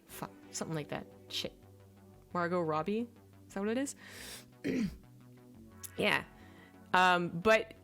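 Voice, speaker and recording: Low-voiced woman rambling through a film review. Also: a bit bright. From native English speaker. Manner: rambling, searches aloud for names, many fillers. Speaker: vlogger reviewing films. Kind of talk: casual film review chat.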